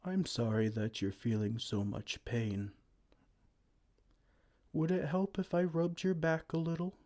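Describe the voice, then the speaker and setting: A man voicing a gentle, kind male giant who talks softly and soothingly in an ASMR roleplay. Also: fairly high pitch, fairly even intonation, measured pace, lightly acted. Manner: soft, soothing, comforting.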